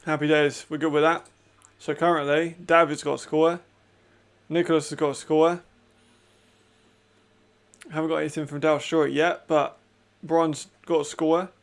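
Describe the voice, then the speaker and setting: A man hosting a watch-along, giving casual live commentary with a raspy voice, chatty and laughing often. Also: on the high side, little pitch movement, unhurried, pauses often. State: hay fever.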